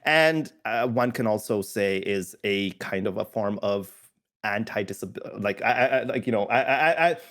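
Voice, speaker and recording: A man in casual podcast conversation, animated and often laughing, sometimes halting and restarting while he thinks. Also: lowish voice.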